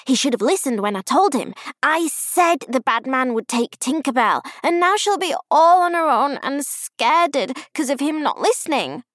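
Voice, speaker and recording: A woman voicing an excitable, indignant five-year-old girl in an audiobook, with emphatic childish babble. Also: high-pitched, normal speed, few pauses, no echo, studio quality, voice pushed up. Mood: indignant, excited. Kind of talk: audiobook character voice.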